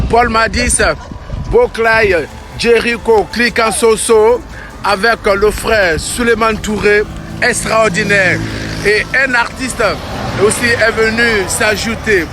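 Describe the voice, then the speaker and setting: A man reporting live and enthusiastically from a street event, repeating his exclamations. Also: sits high. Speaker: reporter filming a march. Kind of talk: excited live street commentary. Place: outdoors on a busy street. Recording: loud crowd and street noise behind.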